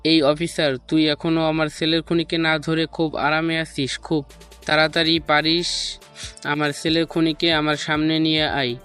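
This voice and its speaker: A man's voice: on the high side, a bit bright, close to an everyday voice. A man playing an angry, vengeful politician father in a dramatized audio story.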